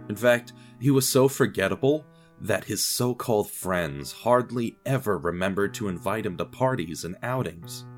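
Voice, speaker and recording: Low-voiced man narrating a wry story.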